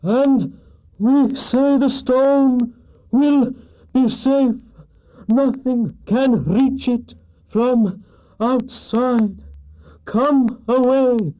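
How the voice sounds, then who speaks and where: A man's voice: very high voice, dark tone, voice pushed up, full character voice, rough recording. A man voicing a commanding evil witch in a children's storybook, with a harsh, droning, imperious delivery. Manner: slow, imperious incantation. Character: commanding evil witch woman chanting dark spells.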